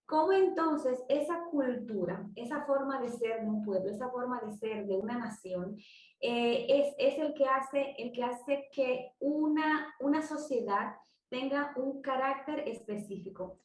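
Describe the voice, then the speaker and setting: Psychology student from the Dominican Republic; slightly high voice, measured delivery, echoey room with a distant mic. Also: female voice. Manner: measured, with frequent restarts. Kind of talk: group class presentation.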